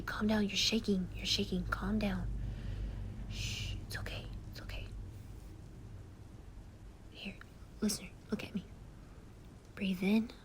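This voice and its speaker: Girl doing a soft-spoken young boy calming his friend down, lots of whispering, slow and easy, faint hum in the room. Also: mid-range voice, lightly acted. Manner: soft, soothing, often whispered. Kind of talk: ASMR comfort roleplay.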